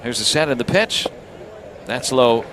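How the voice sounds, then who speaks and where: An American man doing live radio baseball play-by-play, talking conversationally and pausing between pitch calls. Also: lively intonation, noisy background. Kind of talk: live radio sports commentary.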